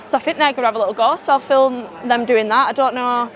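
A young woman vlogging at an outdoor theme park, chatting and rambling with frequent laughs, her voice going hoarse from talking. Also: a little high, steady tone, fast talker. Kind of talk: casual vlog chat to camera. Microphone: clip-on lapel mic.

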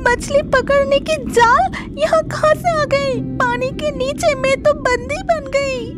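Squeaky-high young cartoon fairy. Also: female voice, slow pace, loud music behind the voice. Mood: frightened pleading. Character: sweet, timid young red fairy turned mermaid. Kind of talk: children's cartoon voice acting.

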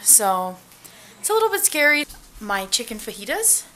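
A woman's voice: a little high, sing-song, moderate pace, sharp tone. A woman, chatting upbeat and straight to her viewers in a casual family vlog, filmed on a handheld camera at arm's length. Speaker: family vlogger. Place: car, then a home kitchen and living room.

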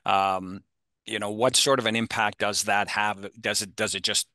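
A man from Canada with a fairly low, bright and forceful voice, recorded close and dry. He puts questions to presenters as a webinar attendee, in a casual conversational style. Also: little pitch movement, moderate pace, dry recording.

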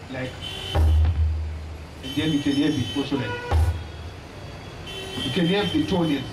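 Slow-talking Zimbabwean man in echoey hall. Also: a little high. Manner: unhurried, pausing often, friendly and plain. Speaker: drummer giving a lecture-demonstration. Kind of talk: halting live teaching talk. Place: small indoor stage hall. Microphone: stand mic, recorded from a far camera. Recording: echoey hall, voice from far off, audible noise.

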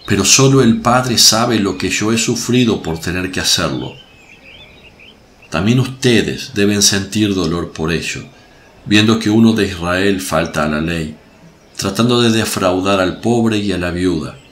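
Man acting compassionate male preacher, solemn, low-pitched, measured, religious radio play, faint birdsong noise. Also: fairly bright tone. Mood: stern, admonishing.

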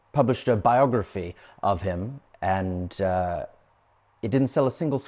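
Low, husky man explaining in interview. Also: a little mellow. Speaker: gallery founder, interviewee. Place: dark indoor exhibition room.

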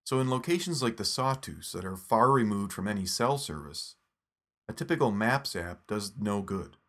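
A man narrating a scripted phone app tutorial in a steady, instructive step-by-step voice. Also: lowish voice, moderate pace.

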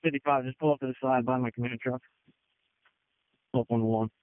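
Male incident commander, middle pitch, matter-of-fact orders, emergency radio traffic, tinny two-way transmission. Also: fairly even intonation, fast, no echo. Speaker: fire chief in incident command.

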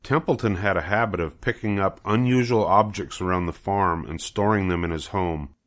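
A man's voice: deep voice, normal speed, a bit bright. An older man narrating a children's book as a storybook reader.

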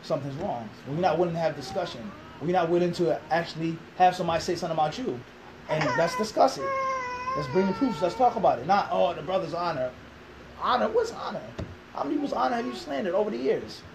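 Guy in a classroom preaching hard, quick talker, throws questions back, faint room hiss. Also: sits high, very animated, vocal fry. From Philadelphia. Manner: emphatic, rhetorical questions, gestures a lot. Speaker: interviewee, Islamic teacher. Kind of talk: animated interview answer. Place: small classroom indoors.

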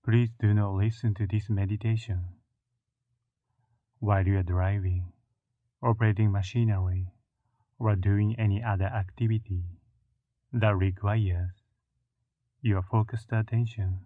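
A man leading a guided meditation in a soothing, measured way, with long pauses between short phrases and a narrow, muffled sound. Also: on the low side, steady tone, no room sound.

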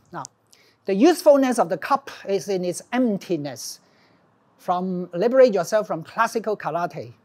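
A middle-aged man speaks with a Hong Kong accent in the manner of a professor addressing a class. His delivery is informal and animated, breaking into emphasis as he explains. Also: high-pitched. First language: Cantonese. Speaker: professor, lecturer. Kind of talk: informal academic lecture. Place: classroom with small audience, indoors.

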